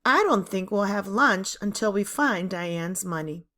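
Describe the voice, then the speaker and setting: Storybook role: firm, correcting female teacher read by a woman narrator, unhurried, with lively questioning intonation. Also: mid-range voice. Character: stern, probing female fifth-grade teacher.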